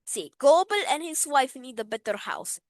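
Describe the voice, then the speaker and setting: Lady doing a fake indian accent for a quiz, high and swoopy, slow and playful. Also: big pitch swings, no room sound. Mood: teasing. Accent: put-on Indian. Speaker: teacher reading quiz questions. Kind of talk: reading multiple-choice questions aloud.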